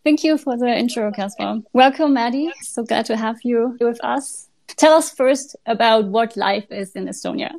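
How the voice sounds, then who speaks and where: A woman's voice: a little high, normal speed, vocal fry, a little mellow, dry recording. A woman co-hosting a casual podcast interview, asking friendly questions. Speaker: podcast co-host.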